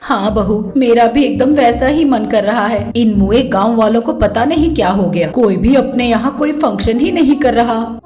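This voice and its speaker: A woman's voice: a little high, normal speed, a little mellow, reverberant, soft background music, natural voice. Woman as gluttonous, scheming mother-in-law.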